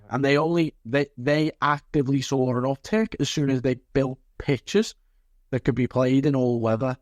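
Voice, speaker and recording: A man's voice: mid-range voice, darkish tone, no echo. A man from Liverpool speaking as an interview guest and football coach, reflective and earnest, repeating phrases for emphasis. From Liverpool, England.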